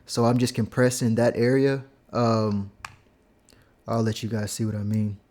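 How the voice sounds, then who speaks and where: A young man casually explaining how he mixes his vocals, rambling and filling pauses with "like" and "um". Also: on the low side, steady tone, darkish tone. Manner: laid-back, rambling, heavy use of "like" and "um". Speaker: music producer teaching vocal mixing. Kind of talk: casual screen-recorded tutorial.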